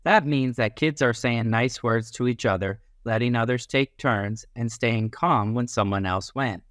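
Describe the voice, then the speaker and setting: A man reading a children's book aloud as a teacher, in an expressive, instructive way that leans on key phrases. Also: medium pitch, unhurried, no room sound, studio quality.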